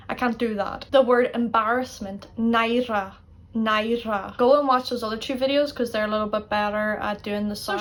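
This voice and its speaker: A young woman with an Irish accent gives a chatty, playful, self-deprecating lesson for her online channel. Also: on the high side. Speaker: Irish language YouTuber. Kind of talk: casual teaching vlog. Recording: faint background noise.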